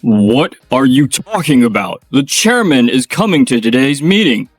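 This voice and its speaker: A man voicing a bullying middle-aged company president in a manga dub, with a gruff, contemptuous manner and a lower, rougher voice than his own. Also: sing-song, a little slow, dry recording, soft background music. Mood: scornful.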